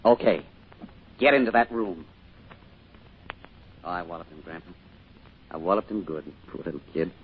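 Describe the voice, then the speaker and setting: A man's voice: sits low, normal speed, long pauses, slight background noise, natural voice. A man playing a loving, wistful small-town father in an old-time radio drama, with a folksy, reflective delivery. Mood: stern. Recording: muffled old radio recording.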